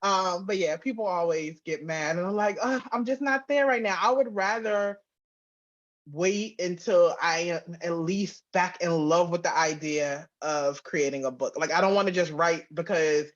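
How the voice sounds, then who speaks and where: A woman's voice: on the low side, moderate pace. Woman chatting candidly, dull lo-fi sound. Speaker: guest, author and audio drama writer-producer. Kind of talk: casual, conversational interview answers.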